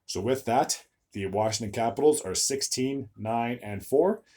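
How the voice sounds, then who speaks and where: A young man hosting a solo hockey recap video, his voice a bit raspy from recording several videos in a row, stumbling over player names and filling gaps with "uh". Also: medium pitch, measured pace, a little mellow. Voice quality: slightly raspy, tired voice.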